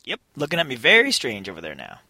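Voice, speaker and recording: A man's voice: medium pitch, wildly swooping pitch, fast, pushed and bright, no room sound. A man making casual, exasperated joking asides in a car, his throat strained and tiring. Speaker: podcast performer. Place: inside a car.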